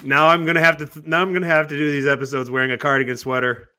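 Bright-voiced chatty man in his forties. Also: fairly high pitch, racing. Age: 40s. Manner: relaxed, chatty, many fillers, laughs easily. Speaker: podcast host. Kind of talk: casual podcast sign-off.

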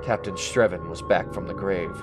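A man's voice: sits low, fairly even intonation, normal speed, over loud music, close to an everyday voice. Man playing a paranoid, frantic radio-thriller schemer. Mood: haunted, frantic. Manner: dramatic, nervous, suspense-laden delivery. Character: paranoid guilty male schemer confessing his crime, increasingly frantic. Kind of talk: 1940s-style radio thriller monologue.

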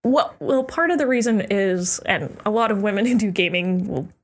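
A woman's voice: medium pitch, normal speed. A young woman chatting casually as a podcast guest, breaking into giggles between phrases. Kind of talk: casual chat. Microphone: headphones and podcast mic.

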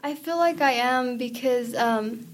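A young woman whose first language is Spanish, from New Mexico, talking softly and hesitantly as a guest singer-songwriter, with many small pauses. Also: a little high. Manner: soft-spoken, hesitant, many ums. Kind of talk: casual interview answers and singing with ukulele. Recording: podcast audio with ukulele backing.

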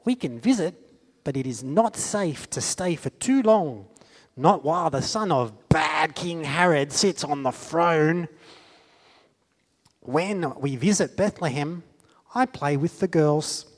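A man's voice: on the high side, expressive, slow pace, big-room sound, voice pushed up. A man voicing an earnest young refugee boy telling his story in simple sentences, in a raised voice. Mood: wistful.

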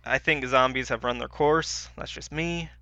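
A man's voice: mid-range voice, moderate pace, bright, forceful tone, no room sound. A man co-hosting a podcast in casual, slangy, informal chat, on a slightly dull recording. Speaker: podcast co-host. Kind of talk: casual chat about pop culture.

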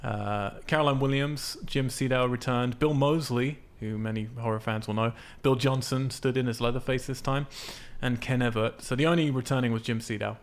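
A man's voice: mid-range voice, steady tone, moderate pace, few pauses. A man hosting a casual film podcast, explaining things in an informal, sweary way. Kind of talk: casual film discussion from notes.

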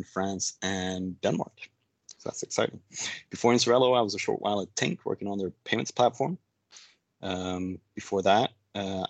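A man's voice: lowish voice, gravelly, no room sound. A man from Sweden, a podcast guest introducing his background in hesitant casual talk. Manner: hesitant, many ums and uhs. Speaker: podcast guest, head of product. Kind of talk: casual panel chat.

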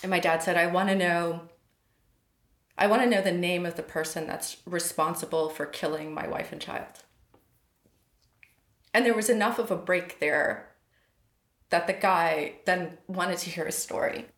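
A woman's voice: fairly low pitch, unhurried, pauses often, echoey room. A woman in her thirties, a poet, talking thoughtfully and hesitantly about her life, often restarting her sentences. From United States. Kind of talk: reflective personal interview.

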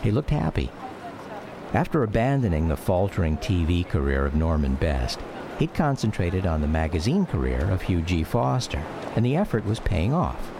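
A low, gravelly grown son reminiscing. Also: male voice, big pitch swings, moderate pace, background noise, exaggerated voice. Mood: reflective. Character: grown son wistfully recounting memories of his father. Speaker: narrator of a radio drama. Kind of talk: scripted dramatic memoir narration.

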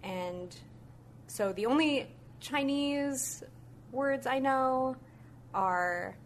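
A woman's voice: high voice, very slow, slight background noise. A young adult woman from Malaysia and the US, a guest chatting casually on a podcast with fillers and light laughs. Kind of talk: casual chat.

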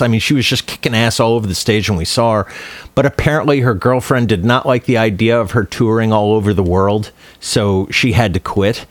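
A man's voice: medium pitch, no room sound. A man co-hosting a podcast in casual, opinionated chat, swearing freely and often restarting his phrases. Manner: profane, opinionated, halting with repeated words. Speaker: podcast co-host. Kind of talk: casual chat about films and music.

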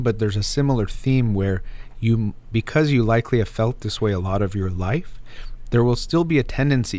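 Male advice host, slightly low voice, calm and reflective, explains things patiently. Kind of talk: advice talk with a caller.

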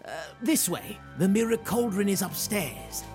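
An actor playing an eccentric, reckless wizard mentor who laughs often and speaks grandly. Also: male voice, sits high, expressive, unhurried, over music. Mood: gleeful. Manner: theatrical, giggly, grandiose. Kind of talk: fantasy audio drama acting.

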